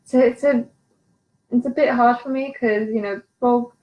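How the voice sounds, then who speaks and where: A woman's voice: on the high side, fairly even intonation, normal speed, mellow, reverberant, rough recording. A young woman whose first language is Polish, chatting casually in an interview with frequent laughs and self-deprecating remarks.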